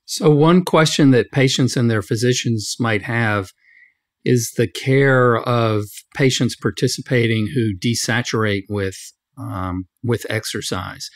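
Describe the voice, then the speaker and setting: A man's voice: medium pitch, a little slow. A man hosting a medical podcast, putting formal questions to a guest. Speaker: podcast host, physician.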